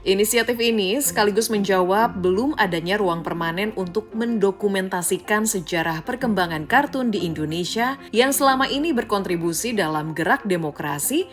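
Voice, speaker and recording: A woman's voice: mid-range voice, normal speed, hardly any pauses. A woman reading scripted news narration as a reporter's voice-over. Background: background music.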